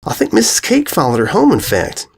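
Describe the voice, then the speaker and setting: A plainspoken little farm filly, put on by a male narrator. The pitch jumps well up, and the talk is homespun, earnest and concerned, as in a children's storybook. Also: wildly swooping pitch, fairly quick, creaky, husky, full character voice. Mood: gossipy, earnest. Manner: folksy, homespun phrasing. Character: plainspoken country filly, concerned young pony girl.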